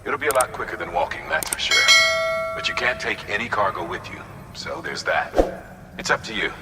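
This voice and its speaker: A deep, bright-toned coordinator briefing calmly in an echoey space. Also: male voice, brisk. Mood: steady. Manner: calm, instructive briefing. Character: steady male mission coordinator on the radio. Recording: roomy, echoey with faint noise.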